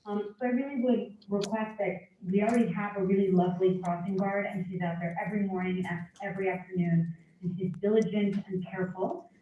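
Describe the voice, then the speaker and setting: A woman's voice: mid-range voice, steady tone, a little hiss or hum. A woman playing an earnest resident, tinny and distant. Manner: earnest, polite, halting with fillers. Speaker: resident giving public comment. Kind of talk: public comment. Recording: tinny, echoey, from far off.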